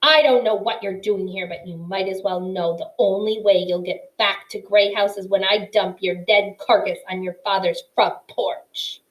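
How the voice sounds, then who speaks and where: A woman's voice: fairly even intonation, moderate pace, hardly any pauses, echoey room, distant mic, lightly acted, rough recording. A woman voicing a menacing, possessive male owl who shrieks threats. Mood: threatening. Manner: shrill, threatening.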